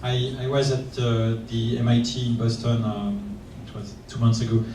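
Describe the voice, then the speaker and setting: A man's voice: medium pitch, normal speed, recorded from a distance, a little hiss or hum. Hesitant male panelist in an echoey room. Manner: hesitant, repeats words, monotone. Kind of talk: panel discussion. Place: conference room.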